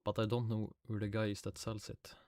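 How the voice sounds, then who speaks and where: A man's voice: lowish voice, completely flat delivery, a little fast, mellow. A man from Sweden with a Swedish accent, chatting casually on a game livestream, muttering to himself, swearing lightly and answering chat. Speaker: streamer. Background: faint music and keyboard typing.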